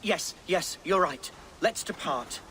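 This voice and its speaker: A man's voice: sits high, expressive, slow, bright, forceful tone, a little hiss or hum, full character voice. A man playing a melodramatic, naive young nobleman in a video game, florid and theatrical in his delivery. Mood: indignant. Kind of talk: video game cutscene acting.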